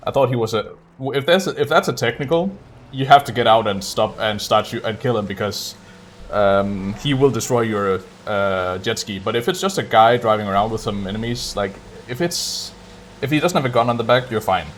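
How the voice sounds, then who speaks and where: A man's voice: lowish voice, moderate pace, a little hiss or hum. A man doing casual live commentary while playing a game, chatting to viewers as he goes. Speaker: speedrunner streaming live.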